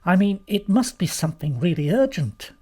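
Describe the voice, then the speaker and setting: An easygoing young husband in a storybook, read by a woman, affectionate and practical. He talks casually, with lively intonation and good-natured emphasis. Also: lowish voice, normal speed, husky, voice pushed up. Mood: reassuring.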